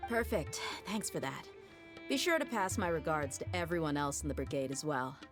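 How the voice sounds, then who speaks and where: Deep-voiced composed game heroine. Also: female voice, animated, moderate pace, soft background music. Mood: pleased, courteous. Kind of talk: video game character dialogue.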